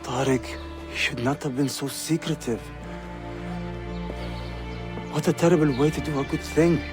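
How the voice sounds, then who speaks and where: A man's voice: a little high, a little fast, close to an everyday voice. A man playing a young, earnest Ottoman prince in a video game, speaking formally and articulately. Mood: shocked, reproachful. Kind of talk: video game cutscene acting. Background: cinematic game music.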